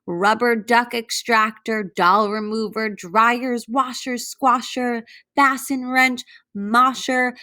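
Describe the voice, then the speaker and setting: A woman reading a storybook aloud as a teacher to children, speaking deliberately and pausing to put questions to her young listeners. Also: a little high, bright, forceful tone. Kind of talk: read-aloud storybook narration.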